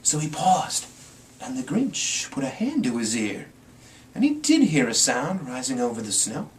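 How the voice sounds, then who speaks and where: A man's voice: medium pitch, sing-song, a little slow. A man giving a playful, theatrical dramatic reading of a rhyming storybook as an amateur storyteller. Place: indoors, in front of a green screen. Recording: distant mic, faint room noise.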